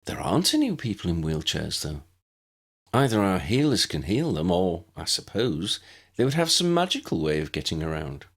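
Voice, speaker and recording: A man's voice: deep voice, very animated, moderate pace, a bit bright, full character voice. A man voicing a helpful, reassuring older schoolgirl witch in a storybook, friendly and explanatory. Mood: explaining.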